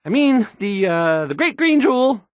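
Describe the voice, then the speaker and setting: An actor playing a painfully shy young radio DJ in a video game, hesitant and apologetic, stammering and fumbling for words. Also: male voice, extremely high pitch, sing-song, moderate pace, dry recording, clean studio sound.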